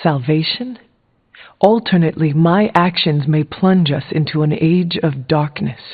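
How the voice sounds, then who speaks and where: A woman playing a supernatural warrior hero in a video game, speaking formally and deliberately. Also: low-pitched, slightly rough. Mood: grave, resolute. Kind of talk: scripted video game dialogue. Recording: muffled phone-like band, music behind.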